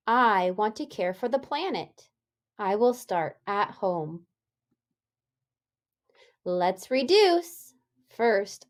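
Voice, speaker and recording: Female elementary teacher, animated read-aloud for young children, slightly high pitch, very slow deliberate delivery, question-led.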